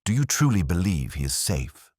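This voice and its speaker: A man voicing an earnest Victorian gentleman, a detective's curious companion, politely questioning in a storybook. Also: low voice, very animated, moderate pace, slightly rough, no echo, studio quality, full character voice. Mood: inquiring.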